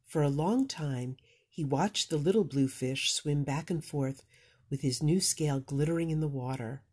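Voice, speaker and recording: A woman narrates a children's storybook. Her voice is low, with a husky texture. She reads expressively, as if to young listeners. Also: normal speed, darkish tone. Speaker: storybook reader. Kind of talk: children's read-aloud narration.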